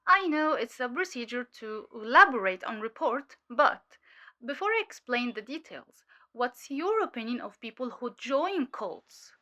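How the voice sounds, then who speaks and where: A woman playing a weary, sharp undercover cult infiltrator giving hesitant, measured testimony in an audio drama. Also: on the high side, sing-song, heavily acted. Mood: guarded, dismissive.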